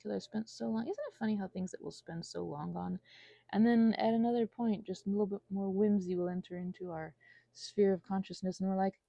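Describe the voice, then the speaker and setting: A woman's voice: mid-range voice, steady tone, brisk, gravelly, mellow, no echo, muffled recording. A woman giving a casual, rambling monologue, whimsical and self-deprecating as her thoughts wander. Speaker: audio creator rambling to listeners.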